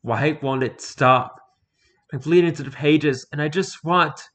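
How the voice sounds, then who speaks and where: Horror story victim, played by a man: tormented male doctor, desperate and pleading, voice pushed high and loud. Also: unhurried. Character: anguished bleeding doctor pleading in endless torment. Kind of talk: dramatic reading of horror fiction.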